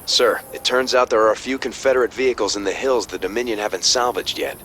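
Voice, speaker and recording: A male actor plays an earnest second-in-command in a video game. He briefs formally in a measured, fairly low voice over clear background noise. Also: steady tone, fairly bright tone, natural voice. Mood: businesslike.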